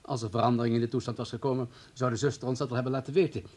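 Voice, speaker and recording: A man plays a clinic's political administrator in a radio drama. His husky, mid-pitched voice is placating and evasive, with hesitant, careful phrasing. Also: level tone, very fast, a little mellow, lightly acted. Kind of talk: Dutch radio play acting.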